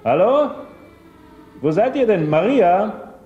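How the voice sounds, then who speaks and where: A man's voice: sits high, big pitch swings, takes it slowly, highs cut off, slight background noise, heavily acted. An actor in a German radio play, playing a man calling out as he searches. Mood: searching, urgent. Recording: long reverb, music behind.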